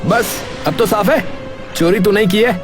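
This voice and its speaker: A man playing an exasperated, excitable villager who complains and accuses with a sarcastic edge, in an acted comic audio story. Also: high-pitched, moderate pace, music in the background, natural voice. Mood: accusing. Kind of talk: Hindi audio comedy story acting.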